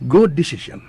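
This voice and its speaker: An actor playing a smug, possessive hacienda heir in a Filipino radio drama, domineering and full of drawn-out taunts. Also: male voice, fairly high pitch, vocal fry, mellow, a little hiss or hum, exaggerated voice. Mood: mocking, commanding. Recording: music bed behind.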